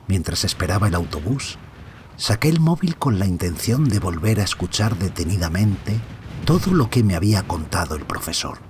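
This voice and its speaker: A man playing an anxious married father who narrates a mystery audio drama in a brooding, hushed way, often dropping toward a whisper. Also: low voice, slight background noise. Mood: pensive. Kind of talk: audio fiction first-person dramatic narration.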